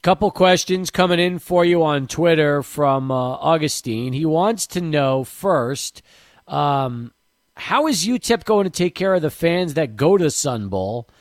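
A man's voice: fairly high pitch, lively intonation, a little slow, dry recording, clean studio sound. A man hosting a sports talk radio show in a conversational way, passing on news and listener questions to his guest.

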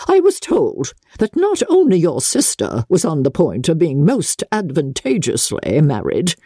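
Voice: mid-range voice, warm tone, no room sound, studio quality, voice pushed up. A reader putting on a haughty old noblewoman. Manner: stiff and commanding. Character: haughty, imperious elderly aristocratic lady. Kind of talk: audiobook character reading.

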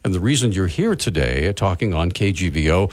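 A man's voice: sits low, lively intonation, fairly quick. A man hosting a radio interview, stammering and repeating words as he asks questions. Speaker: radio host. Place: radio studio.

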